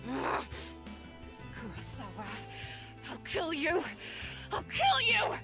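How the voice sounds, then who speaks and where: A woman's voice: very high voice, wildly swooping pitch, slow, full character voice. Cynical teenage girl, music behind. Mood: furious. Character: cynical, hot-tempered young schoolgirl in anime visual novel. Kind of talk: visual novel voice acting. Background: game music.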